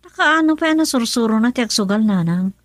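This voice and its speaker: A woman's voice: a little high, lively intonation, fairly quick, no room sound, studio quality, close to an everyday voice. Give me a woman playing a tired, ailing young daughter. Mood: questioning. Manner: questioning, then faint and tired. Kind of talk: radio drama acting.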